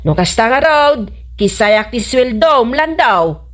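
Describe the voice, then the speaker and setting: A woman's voice: a little high, expressive, takes it slowly, fairly bright tone. An older neighbour woman played by an actress in a radio comedy drama. She grumbles and scolds in an exasperated way. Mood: scolding, exasperated.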